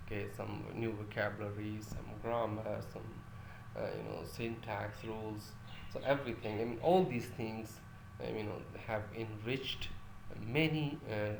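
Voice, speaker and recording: A man's voice: fairly low pitch, vocal fry, echoey room, slight background noise, poor audio. A male lecturer whose first language is Urdu, teaching a class with many fillers and restarts and putting questions to his listeners.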